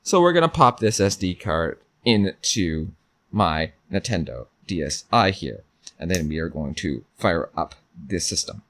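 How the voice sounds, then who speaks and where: Low-pitched man giving tech demos. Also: very animated, measured pace, a bit bright. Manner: informal, talks through each step. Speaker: video reviewer. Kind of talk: hands-on tech demonstration.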